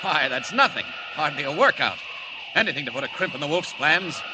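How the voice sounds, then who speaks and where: An actor playing a heroic superhero disguised as a reporter in a dramatic, exclamatory 1940s radio style. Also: male voice, a little high, animated, a little fast, lightly acted. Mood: jaunty, determined. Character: heroic, confident male superhero posing as reporter. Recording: very noisy old radio broadcast recording.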